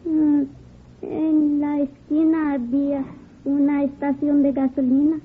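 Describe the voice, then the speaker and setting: A young woman witness, defensive and hesitant, with a very high, expressive voice. The sound is a thin, narrow vintage radio drama recording. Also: a little slow. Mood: hesitant, recalling. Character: defensive young Spanish-speaking woman questioned by police. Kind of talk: 1950s radio drama acting.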